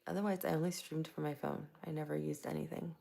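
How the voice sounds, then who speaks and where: Woman from Tampa hosting a live stream: soft-spoken, low and heavily gravelly, chatting easily with viewers. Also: fairly even intonation, fast talker. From Tampa, Florida. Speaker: livestream host. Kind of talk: casual live chat answering viewers. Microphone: plugged-in microphone.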